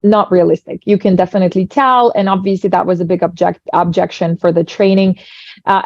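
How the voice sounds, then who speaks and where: A woman's voice: on the low side, warm tone, no echo. A woman from Ukraine with a Ukrainian accent, a guest explaining her work enthusiastically in a casual interview. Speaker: guest, tech founder. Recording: dull dry lo-fi audio.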